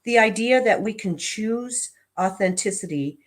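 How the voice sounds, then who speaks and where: A woman reading affirmations and inspirational text aloud in a measured, deliberate way, phrase by phrase. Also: mid-range voice, lively intonation. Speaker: prayer practitioner and reader.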